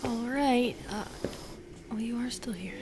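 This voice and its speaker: A woman playing a lonely, wounded and wary Medusa in an intimate audio roleplay, speaking hesitantly and softly, often dropping into a whisper. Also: on the high side, noisy background, lightly acted. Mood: shy, disbelieving. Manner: hesitant, halting, soft and breathy.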